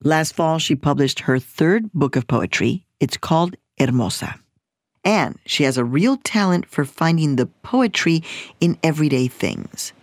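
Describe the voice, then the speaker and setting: A woman's voice: low-pitched, expressive, a little slow, dry recording, studio quality. A woman hosting a radio program, narrating a playful story from a field trip in scripted voice-over. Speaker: radio host. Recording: narration with music underneath.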